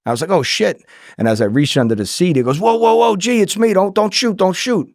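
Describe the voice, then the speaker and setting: A man's voice: high-pitched, fairly quick, a little mellow, dry recording, clean studio sound. A middle-aged man telling anecdotes casually as a podcast guest, acting out the dialogue and swearing freely. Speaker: podcast guest, former undercover agent.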